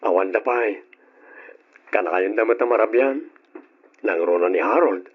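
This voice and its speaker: An actor playing a devoted but hesitant boyfriend, gentle and apologetic, in an old Ilocano radio drama with an Ilocano accent. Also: male voice, lowish voice, normal speed, slightly rough, dull audio. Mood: fond, easygoing.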